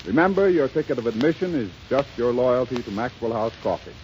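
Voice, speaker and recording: A man's voice: mid-range voice, lively intonation, fairly quick, a little mellow. A man with an American accent reading scripted announcements as a 1930s radio announcer. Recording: hissy, muffled 1930s radio recording.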